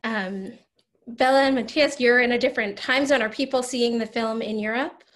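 A woman's voice: a little high, steady tone, moderate pace, a bit bright. A woman moderating a Q&A on a video call, hesitant with many ums.

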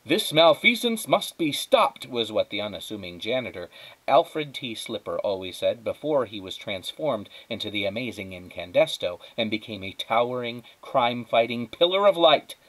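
A man's voice: fairly low pitch, animated, moderate pace, no echo, rough recording. A man narrating a children's storybook aloud, as a teacher does. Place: indoors at a wooden table.

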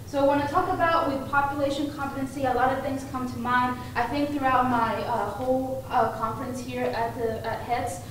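A woman's voice: high-pitched, steady tone, moderate pace, hardly any pauses. Young woman presenting in an echoey hall. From Mexico. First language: Spanish. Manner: informal, with frequent "uh" and restarts. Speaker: conference presenter. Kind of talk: conference talk. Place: conference room with projector screen. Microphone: room mic heard from a distance. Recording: echoey room, distant mic, background noise.

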